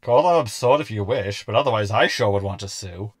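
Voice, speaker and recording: A man's voice: fairly low pitch, expressive, a little fast, close to an everyday voice. An actor playing a cheeky, cynical, sarcastic crewman in a sci-fi comedy audio drama.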